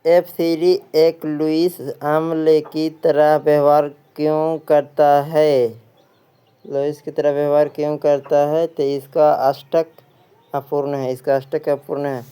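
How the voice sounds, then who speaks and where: Male Indian-accented exam tutor, unhurried and very smooth-voiced, reads practice questions and repeats the answers. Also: a little high, little pitch movement, dark tone. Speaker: exam coaching teacher. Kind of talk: reading quiz questions and answers aloud.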